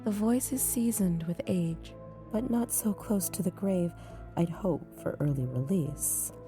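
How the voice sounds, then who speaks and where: A woman giving measured, brooding first-person narration in an audio drama. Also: on the low side. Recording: music bed behind.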